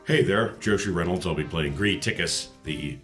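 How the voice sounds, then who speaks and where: A man's voice: sits low, normal speed, fairly bright tone, faint music behind. A man chatting casually as a player on a tabletop roleplaying podcast. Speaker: player on an actual-play podcast. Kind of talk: casual tabletop game chat.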